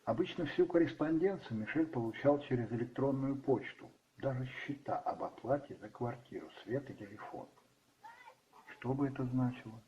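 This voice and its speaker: Male narrator for radio drama: theatrical and brooding, mid-pitched, slightly dull sound over music. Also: moderate pace, slight background noise.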